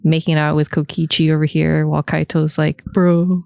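A woman's voice: low-pitched, flat delivery, normal speed, vocal fry, darkish tone, clean tone, dry recording, clean studio sound. A woman co-hosting a podcast, chatting casually and swearing freely. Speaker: podcast co-host. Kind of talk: casual chat.

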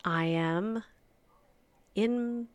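A woman leads a guided meditation at an extremely unhurried pace, letting her phrases drift down into near-whispers. Her voice is smooth and resonant, recorded close and dry with no room sound. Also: medium pitch, dry recording. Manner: soft, slow and soothing, trailing off into near-whispers.

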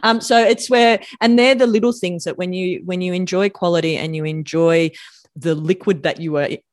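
A woman's voice: lowish voice, steady tone, moderate pace, no room sound, studio quality. A woman hosting an interview podcast, gushing and enthusiastic, often repeating words as she starts a question. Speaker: podcast host. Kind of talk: casual interview chat.

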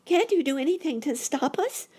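A woman voicing a small, eager boy rabbit in a children's storybook, exclaiming excitedly. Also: sits high, unhurried, pitched above the performer's own voice, full character voice. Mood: alarmed, excited.

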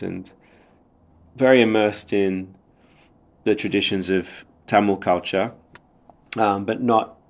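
Aussie guy as interview guest, lowish voice, thoughtful, explains stuff bit by bit. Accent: Australian. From Australia, raised in Sydney. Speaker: podcast guest, theatre writer and director. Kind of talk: reflective interview talk.

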